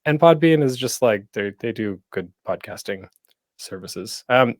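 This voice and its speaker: Guy hosting a casual how-to panel, really lively pitch, dry close sound, keeps cutting himself off with asides. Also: measured pace, no echo. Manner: informal, self-interrupting, tangential asides. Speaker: panel host and presenter. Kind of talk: casual instructional panel talk.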